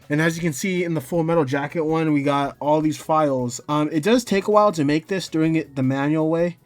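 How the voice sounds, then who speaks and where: A man's voice: fairly high pitch, a little mellow. A man hosting a casual how-to tutorial, talking in a hesitant, rambling way and often correcting himself. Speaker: tutorial host. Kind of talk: casual screen-recorded software tutorial.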